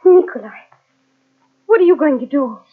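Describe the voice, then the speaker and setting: A woman's voice: sits high, expressive, normal speed. An actress playing a frightened, pleading unfaithful woman in old-time radio melodrama, imploring and breathless. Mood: desperate pleading. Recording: tinny, narrow-band old radio recording.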